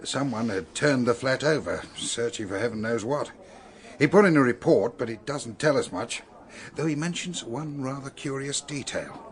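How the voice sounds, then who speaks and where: An actor as a mid-pitched, blunt British detective. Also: male voice, expressive, moderate pace, lightly acted. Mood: businesslike. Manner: businesslike, blunt, clipped. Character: brisk, no-nonsense male police detective. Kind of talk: radio drama acting.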